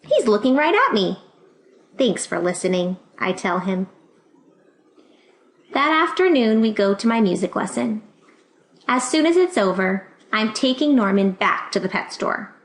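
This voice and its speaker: A woman reading a children's picture book aloud in an expressive way, acting out the dialogue. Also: fairly high pitch, a little slow. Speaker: storybook reader. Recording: roomy, echoing sound.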